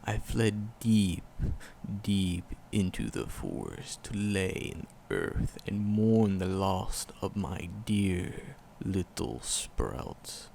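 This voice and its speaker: A man playing an ancient, tender and sorrowful forest demon in an ASMR roleplay, with a gravelly voice and solemn, archaic storytelling. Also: low-pitched, darkish tone, slight background noise, full character voice. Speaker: voice actor in audio roleplay.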